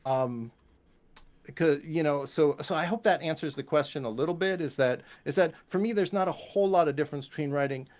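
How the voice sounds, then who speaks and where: A man's voice: fairly high pitch, a little fast, darkish tone. A man from the United States chatting casually as an interview guest, joking and rambling as he talks. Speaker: guest, composer and bass player.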